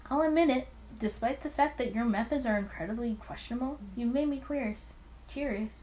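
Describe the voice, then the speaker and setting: A woman's voice: on the high side, fairly quick, a little mellow, slight background noise, lightly acted. A woman voicing a cautious, baffled male police detective from the 1920s in a visual novel. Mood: stunned, curious.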